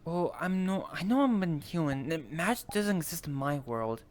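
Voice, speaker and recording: A man's voice: a little high, lively intonation, normal speed. A man talking hesitantly and pondering as he improvises in character in an online roleplay. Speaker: player in a virtual roleplay. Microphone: VR voice chat microphone. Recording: noisy online voice chat.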